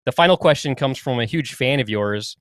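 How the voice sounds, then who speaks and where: A man's voice: mid-range voice, expressive, a little fast, no echo. Chatty man co-hosting a dry-sounding podcast. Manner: chatty, laughs easily. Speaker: podcast co-host. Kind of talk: casual podcast chat.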